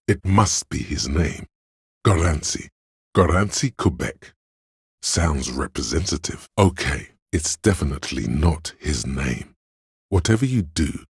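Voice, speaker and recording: A man voicing a cool, analytical inner voice of reason in a video game, measured, deliberate and dryly sardonic, with a husky tone. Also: no room sound, studio quality, heavily acted. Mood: dry pleading. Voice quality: very deep, gravelly husky. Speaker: voice actor.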